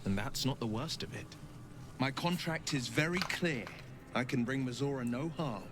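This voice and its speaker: A man's voice: medium pitch, normal speed, close to an everyday voice. A man playing an earnest, gallant young folk hero cursed with horns, speaking eloquent, theatrical video game dialogue. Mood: grave, resolute. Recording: game ambience noise behind the voice.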